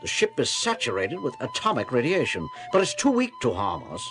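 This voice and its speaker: An actor playing a moon base officer, reporting findings in clipped phrases in an audio drama. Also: male voice, mid-range voice, huge pitch swings, fairly quick, music in the background. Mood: serious.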